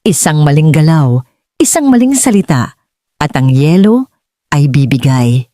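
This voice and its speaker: A woman's voice: low-pitched, sing-song, a little slow, dark tone, no echo, clean studio sound. A woman reading a scripted dramatic story as an audiobook narrator.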